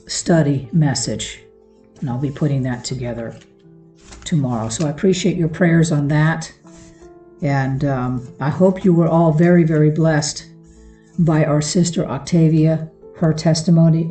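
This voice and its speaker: A woman's voice: sits low, warm tone. A woman giving an informal devotional talk to her followers, speaking in an earnest and affectionate way. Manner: earnest, affectionate address to listeners. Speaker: host of a religious teaching show. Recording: roomy echo, far from mic.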